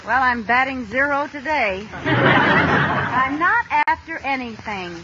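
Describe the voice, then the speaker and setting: An actress playing a scheming, wheedling American housewife in a theatrical 1950s radio comedy style. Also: female voice, high-pitched, animated, takes it slowly. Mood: wheedling, sweet. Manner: exaggerated, theatrical. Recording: muffled, noisy old radio recording.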